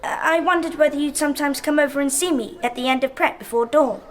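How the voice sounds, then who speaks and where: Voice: high voice, fast, a bit bright. An actor playing a timid, lonely little English schoolboy, hesitant and polite, in a radio drama.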